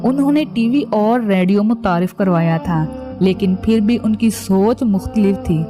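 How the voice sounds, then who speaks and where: A woman's voice: medium pitch, measured pace. A woman reading scripted documentary narration as the narrator of a biographical history piece. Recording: background music under voice. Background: music.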